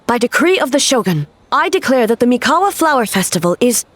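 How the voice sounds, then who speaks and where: An actress playing a stern, dutiful woman ruler with a formal, measured, commanding manner in an anime fantasy game. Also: on the high side, sing-song, no room sound. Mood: grave, commanding.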